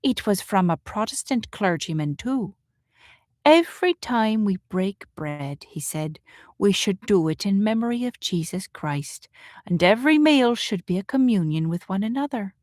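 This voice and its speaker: A woman voicing a gentle, devout woman dishwasher in an audiobook, earnest and reverent. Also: medium pitch, animated, moderate pace, no echo, clean studio sound, lightly acted. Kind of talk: audiobook character voice.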